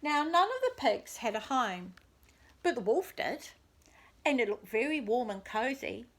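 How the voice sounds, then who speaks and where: A woman storyteller reading a children's tale expressively and deliberately, as if to young listeners. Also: a little high, sing-song. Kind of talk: scripted children's story narration.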